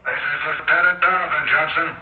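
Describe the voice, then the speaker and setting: A commanding police lieutenant from a vintage radio drama, played by a man. His stern, official voice is high-pitched. It sounds tinny and thin under heavy noise. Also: level tone, a little fast, lightly acted. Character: commanding male police lieutenant calling from outside. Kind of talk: classic radio drama acting.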